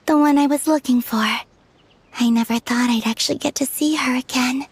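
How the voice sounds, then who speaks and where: An actress voicing a gentle young woman in a game cutscene, soft-spoken and a little hesitant. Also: high voice, steady tone, moderate pace, breathy edge, no echo, full character voice. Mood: tender. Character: gentle young woman reunited with her friend.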